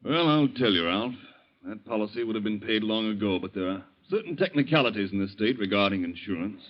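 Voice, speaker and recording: Man playing low-voiced, matter-of-fact attorney. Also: expressive, brisk, natural voice. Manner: formal, explanatory. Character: measured, matter-of-fact male attorney delivering bad news. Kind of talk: 1940s radio drama acting. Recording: dull old 1940s radio recording.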